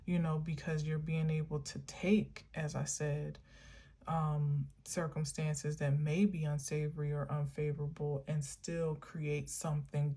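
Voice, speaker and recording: Woman vlogger, low-pitched, slow and meandering, earnest spiritual musing. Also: darkish tone. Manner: meandering, musing, many filler words; earnest, encouraging. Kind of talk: reflective spiritual monologue.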